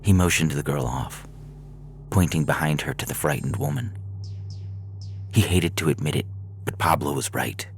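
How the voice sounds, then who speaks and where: A man's voice: extremely low pitch, lively intonation, moderate pace, gravelly, pauses often, a little hiss or hum. A man narrating a scripted science fiction story as a podcast host, reading in an audiobook style.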